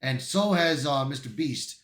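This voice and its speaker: A man's voice: medium pitch, lively intonation, measured pace, fairly bright tone. A man giving casual online commentary and reading aloud, often stumbling over his words. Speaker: YouTube commentator. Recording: roomy, echoey voice.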